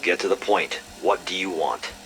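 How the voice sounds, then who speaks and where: An actor playing a guarded, terse, no-nonsense intelligence officer on a call in a video game. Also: male voice, deep voice, moderate pace, noisy background. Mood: impatient, firm. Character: curt, guarded male intelligence agency officer. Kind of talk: dramatic game dialogue on a phone call.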